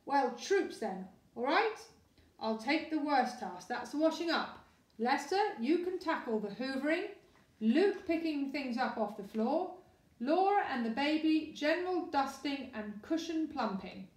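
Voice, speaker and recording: A woman's voice: sits high, sing-song, pitched above the performer's own voice. A woman voicing a cheerful, bossy father elephant who takes charge of the family in a storybook. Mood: bossy jolly. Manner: brisk, commanding, jolly. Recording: roomy echo.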